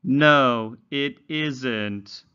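A man's voice: mid-range voice, extremely slow, sharp tone, smooth, muffled recording. A man teaching young children in a read-along lesson, enunciating carefully with exaggerated, instructional intonation. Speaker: English teacher for young learners.

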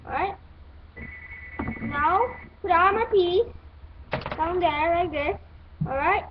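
A woman giving halting, step-by-step instructions in a hands-on toy building tutorial. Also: very high voice. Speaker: toy review host. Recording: muffled phone-like audio, clear background noise.

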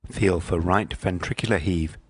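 A man reading scripted medical instruction in a measured, precise, impersonal manner. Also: low voice, fairly even intonation, husky, dry recording, studio quality. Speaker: instructional narrator.

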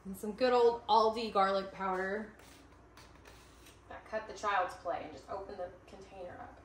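A woman's voice: mid-range voice, steady tone, normal speed. A young woman chatting casually while cooking lunch in her home kitchen, with self-mocking asides. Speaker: vlogger. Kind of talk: casual cooking vlog chat. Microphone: camera mic from a few feet away. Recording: echoey kitchen, faint cooking noise.

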